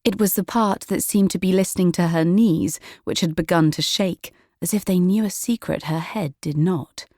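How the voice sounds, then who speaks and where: A woman's voice: lowish voice, moderate pace, few pauses, dark tone, no room sound, clean studio sound. A woman reading a children's story as a scripted audiobook narrator.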